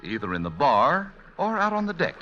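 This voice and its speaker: Old time radio announcer guy narrating comedy, very bright lively voice, muffled lo-fi, bit of music. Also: on the high side, normal speed. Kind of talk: 1940s radio scripted comic narration. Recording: dull, lo-fi vintage radio recording.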